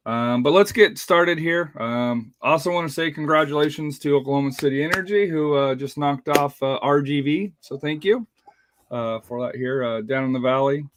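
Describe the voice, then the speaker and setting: Man, slightly raspy, casual sports host, filler-heavy, dry close sound. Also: a little high, moderate pace, dry recording. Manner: rambling with many uh fillers. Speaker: podcast host.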